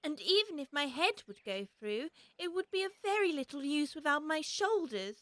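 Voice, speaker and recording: Young girl, bright ringing tone, curious, prim, expressive self-talk, audiobook storybook character, close dry sound. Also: high-pitched, moderate pace, no room sound. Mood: wistful. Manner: earnest, expressive talking to herself. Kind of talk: full-cast audiobook acting.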